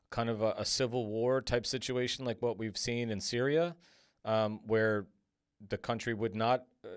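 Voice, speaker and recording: A man hosting a podcast interview, putting long, reflective questions in a conversational, measured way. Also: mid-range voice, smooth, no room sound, studio quality.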